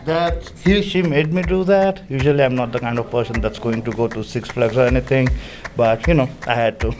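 A man's voice: medium pitch, brisk. A man from India chatting casually as a groom in an interview. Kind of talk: casual chat. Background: guitar music.

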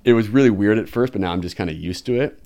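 American guy in his 30s, really low voice, thinks out loud, kinda hesitant. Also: quick pace. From United States. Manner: thoughtful, hesitant, restarts, chuckles. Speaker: guest, expat math teacher. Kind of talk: casual interview chat.

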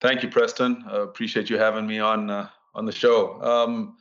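A man's voice: lowish voice, flat delivery, normal speed, a bit bright, dull audio. A middle-aged man from Zimbabwe, a podcast guest telling his story with many fillers and restarts. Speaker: guest, ministry executive director. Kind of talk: conversational storytelling.

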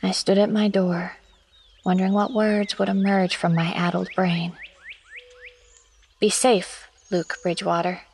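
A woman playing a proper, obedient young schoolteacher who reads her diary in formal, old-fashioned, measured diction as horror narration. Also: mid-range voice, level tone, darkish tone, slight background noise, lightly acted. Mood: prim, wistful. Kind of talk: dramatic first-person audio horror narration. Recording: studio narration over prominent music.

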